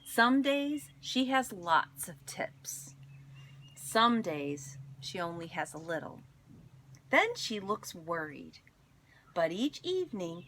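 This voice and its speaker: A woman reads a children's story aloud like a teacher, at an unhurried pace with very lively intonation. She pauses for dramatic effect and phrases deliberately. There is clear background noise in the recording. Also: lowish voice. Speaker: teacher reading aloud to students.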